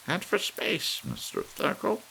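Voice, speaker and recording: A man voicing a resolute, wistful elderly woman in an audiobook story, with a gentle, measured delivery. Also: sits high, wildly swooping pitch, creaky, pitched above the performer's own voice, exaggerated voice. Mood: commanding. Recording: faint hiss under the voice.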